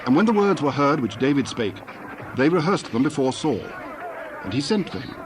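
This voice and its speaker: Mid-pitched man narrating scripture, noisy background. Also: very animated, normal speed.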